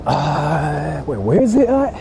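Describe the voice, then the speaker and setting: A man's voice: a little high, big pitch swings, takes it slowly. A man from Japan chatting casually to livestream viewers in slangy, repetitive phrases, out on a city street. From Japan (Ichihara). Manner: repeats himself, informal slangy talk. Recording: noisy urban street with traffic.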